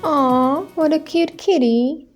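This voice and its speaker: A man putting on a high cooing pet voice. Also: slow pace, soft background music. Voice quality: high cooing baby talk.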